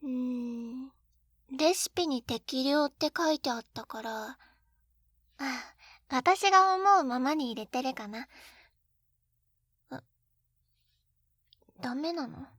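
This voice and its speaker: A woman's voice: sits high, expressive, takes it slowly, pauses often, fairly bright tone, no room sound, clean studio sound, exaggerated voice. A woman playing an eager, clumsy, sweetly pouty young wife in an intimate binaural roleplay, with a cutesy, flustered delivery. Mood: defensive, pouty. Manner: cutesy, flustered, pouting, hesitant little gasps. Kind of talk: Japanese situation-voice roleplay, intimate ASMR audio drama. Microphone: close binaural 3Dio microphone.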